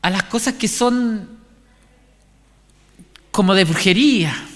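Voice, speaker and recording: A man with a Chilean accent giving an informal, conversational sermon, haltingly repeating and restarting his phrases. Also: high-pitched, fairly bright tone. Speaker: preacher. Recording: echoey hall, long reverb.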